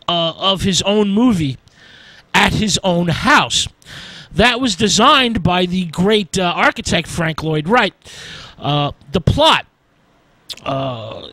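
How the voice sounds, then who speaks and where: A man giving an informal film history lecture, speaking haltingly with deliberate emphasis on key words. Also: high voice, bright, forceful tone, no echo, low-quality recording. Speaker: film lecturer and radio presenter.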